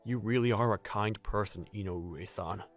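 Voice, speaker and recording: The gentle new hire at the next desk in a cartoon office, lowered and polite , as a man plays him, over light music. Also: big pitch swings, normal speed. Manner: polite, soft-spoken, apologetic. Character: mild-mannered, humble, gracious older male office newcomer. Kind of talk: anime-style dub acting.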